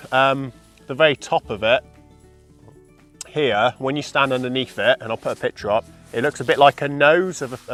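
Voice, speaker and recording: A man in his thirties with a croaky voice from the flu, chatting casually to a handheld camera outdoors on open moorland, rambling with lots of ums. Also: mid-range voice. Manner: rambling, relaxed, many ums and kind ofs. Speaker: landscape photography vlogger. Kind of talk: casual vlog talk to camera. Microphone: camera held at arm's length.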